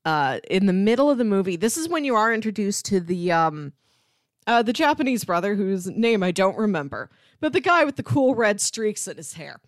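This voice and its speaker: A woman's voice: mid-range voice, sing-song, moderate pace, dry recording, studio quality. A woman co-hosting a podcast, chatting informally about a film and acting out bits of dialogue as she retells scenes. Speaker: podcast co-host. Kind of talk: casual film discussion.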